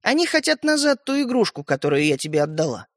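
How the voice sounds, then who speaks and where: A man's voice: high-pitched, big pitch swings, fast, a bit bright, no room sound, clean studio sound, full character voice. A man voicing an exasperated young sister in a children's story, matter-of-fact and teasing as she explains. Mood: dismissive.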